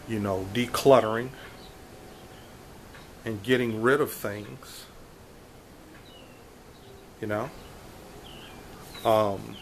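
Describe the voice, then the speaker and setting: A man talks unhurriedly in a calm, soothing voice. He restarts his sentences often and fills the gaps with casual asides. His speech sits at a mid pitch, and a faint background noise runs beneath it. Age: 50s. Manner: slow, repetitive, many restarts and fillers. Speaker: vlogger. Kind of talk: casual vlog chat. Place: extended stay hotel room.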